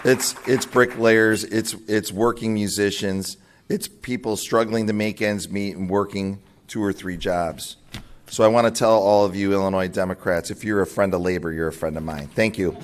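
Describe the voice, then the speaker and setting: A man's voice: lowish voice, steady tone, normal speed. A man giving a rousing labor speech at a political breakfast, lifting his voice on key words. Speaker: labor leader speaking at a party breakfast. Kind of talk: rally speech.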